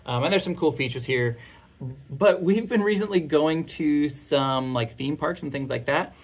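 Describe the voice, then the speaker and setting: A man's voice: a little high, normal speed. A man in his thirties giving a casual product review in a chatty, informal and candid way.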